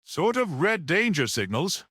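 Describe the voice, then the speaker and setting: A man's voice: on the high side, sing-song, unhurried, fairly bright tone, no room sound, studio quality, voice pushed up, heavily acted. A man voicing a detective's curious, easily startled male companion in a mystery story.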